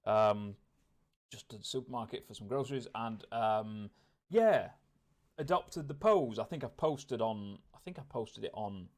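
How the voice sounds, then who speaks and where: A man's voice: lowish voice, unhurried. A man talking casually and reflectively in a personal vlog, rambling a little and repeating words as he thinks aloud. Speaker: vlogger. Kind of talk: casual vlog talk to camera, self-reflective.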